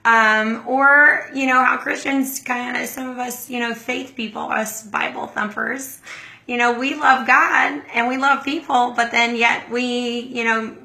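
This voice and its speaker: A hoarse middle-aged woman hosting a casual livestream, chatting and greeting viewers by name. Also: a little high, fairly even intonation, a little slow, bright, forceful tone. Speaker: livestream host.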